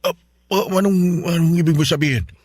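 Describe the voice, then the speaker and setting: A man's voice: a little high, a little fast, darkish tone, dry recording, close to an everyday voice. A man playing a flustered middle-aged father who stammers and repeats himself hesitantly in a Filipino radio drama. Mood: bewildered, flustered.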